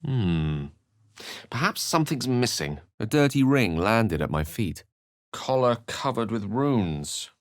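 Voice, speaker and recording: An actor playing an eloquent, wry wizard companion in a fantasy video game, with articulate, theatrical phrasing. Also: male voice, medium pitch, very animated, slow, dry recording, studio quality. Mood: wry, thoughtful. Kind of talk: fantasy game dialogue and narration.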